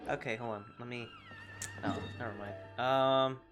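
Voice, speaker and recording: A woman's voice: rumbling low, steady tone, normal speed, highs cut off, music in the background. A woman casually commenting while playing a game, chatty and reactive, often laughing. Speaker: gameplay streamer.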